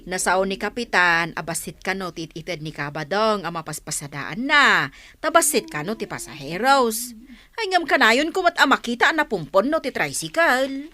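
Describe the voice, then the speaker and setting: A woman's voice: medium pitch, sing-song, normal speed, sharp tone. A woman with an Ilocano accent acting a gossipy, insinuating village neighbour in a comedy radio drama. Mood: gossiping.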